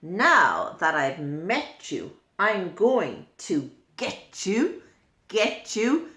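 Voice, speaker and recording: A woman voicing a menacing, hungry male bird of prey in a deliberate, gloating storybook manner. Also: medium pitch, wildly swooping pitch, bright, forceful tone, echoey room, full character voice. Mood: threatening.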